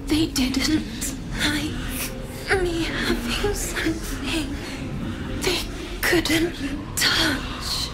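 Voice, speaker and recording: An actress playing a bereft, bitter mother in a video game, speaking in broken, halting, grief-stricken phrases. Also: sits high, very noisy. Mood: anguished despair. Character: bereft, bitter mother mourning her baby girl. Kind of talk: video game character acting.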